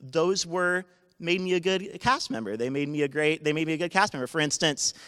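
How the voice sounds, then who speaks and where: A man in his twenties tells a true story from his own life to a live audience. His voice is loud, smooth and resonant, and his sentences often stop and start again. A long reverb from the hall trails behind each phrase. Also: fairly high pitch, animated. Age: 20s. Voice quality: naturally loud voice. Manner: halting, with many restarts and self-corrections. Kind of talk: unscripted personal story told live to an audience. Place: university event space.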